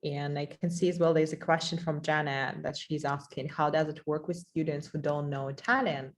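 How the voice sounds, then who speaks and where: A woman's voice: low voice, fairly even intonation, normal speed. A woman moderating a webinar over a video call, playful in tone and passing on questions from the audience. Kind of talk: casual moderating.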